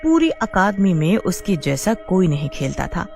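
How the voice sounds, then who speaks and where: A woman's voice: on the low side, big pitch swings, unhurried, gravelly, darkish tone, soft background music. A woman narrating a scripted children's story as the storyteller.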